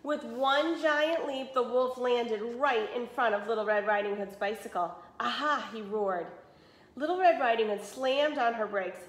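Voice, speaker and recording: A woman's voice: a little high, unhurried. A woman in her forties reading a children's story expressively as a teacher, with explanatory asides to pupils. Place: small room indoors. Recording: roomy echo of a small room.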